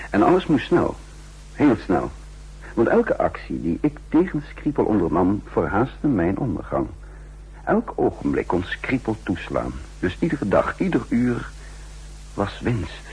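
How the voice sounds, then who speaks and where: Shrewd spymaster for vintage radio play: low, very husky, clipped and ironic delivery. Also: male voice, a little mellow, full character voice, poor audio. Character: shrewd, sardonic male counter-espionage chief, narrating his story. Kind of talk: 1960s Dutch radio drama acting, dramatic narration.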